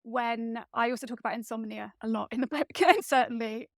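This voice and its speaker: A woman speaking as a guest author in a reflective interview, thoughtful and often pausing to search for her words. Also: on the high side, no room sound, studio quality.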